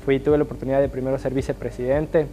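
A young man from Guayaquil who speaks with an Ecuadorian highland accent, giving an earnest, upbeat testimonial in a small studio, often restarting his phrases. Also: flat delivery, quick pace, warm tone, lo-fi sound, soft background music. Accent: Ecuadorian highland (serrano). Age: young adult. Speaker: alumnus interviewee. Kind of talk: sit-down testimonial interview.

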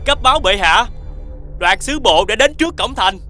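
A man voicing a deferential, flustered palace herald making announcements in an animated fairy tale. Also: high voice, normal speed. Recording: music behind the voice.

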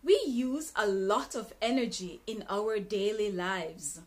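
Woman teacher in her 30s, reads a lesson very slowly and deliberately, small room. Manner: careful, deliberate reading with expressive pitch. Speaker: English teacher reading a textbook aloud. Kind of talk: slow, clearly enunciated read-aloud lesson. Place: small bright indoor room.

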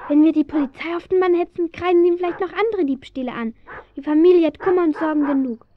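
Eine Schauspielerin spielt ein vorsichtiges, mitfühlendes jugendliches Mädchen in einem Kinderhörspiel. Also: female voice, very high-pitched, fast talker, phone-quality sound, slight background noise. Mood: earnest. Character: gentle, compassionate, cautious teenage girl, the sidekick. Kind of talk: German children's audio drama acting.

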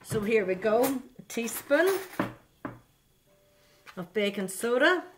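An older woman walks children through a recipe in her home kitchen. Her voice sits a little high, and she keeps asking the viewers questions. There is a faint hiss from a simple webcam mic. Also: sing-song, takes it slowly. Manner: chatty, talks directly to children, coaxing questions. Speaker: baking show host. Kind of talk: children's cooking demonstration.

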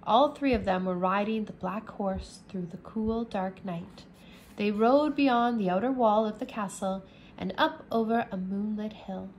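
A woman teacher reading a children's chapter book aloud, slowly and clearly, indoors at a table. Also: mid-range voice, darkish tone, slight background noise, rough recording. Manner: slow, careful, clear reading. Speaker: English teacher.

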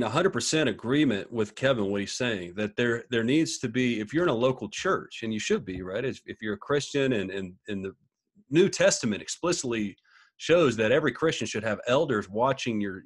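A man's voice: mid-range voice, expressive, fairly quick, husky. A man in his thirties talking from a home study over a video call, thinking aloud and often repeating words as he reasons. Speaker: podcast co-host. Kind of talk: casual discussion on a video call. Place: small home study with bookshelves.

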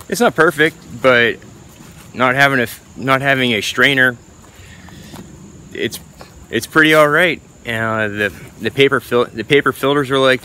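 A man's voice: lowish voice, bright, forceful tone, a little hiss or hum. A man in his thirties casually showing a camp trick outdoors in a forest, talking easily with some restarts to a camera held close. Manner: easygoing, rambling with restarts. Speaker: bushcraft vlogger. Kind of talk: casual outdoor how-to vlog. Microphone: camera held close at arm's length.

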